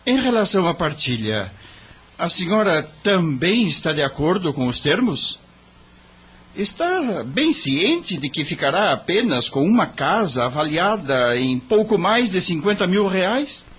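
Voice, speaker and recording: A man's voice: high voice, very animated, measured pace, fairly bright tone, husky, full character voice. A Brazilian man playing a courteous, idealistic young lawyer in an audio drama, formal and earnest. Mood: formal, probing. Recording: muffled lo-fi audio, background noise.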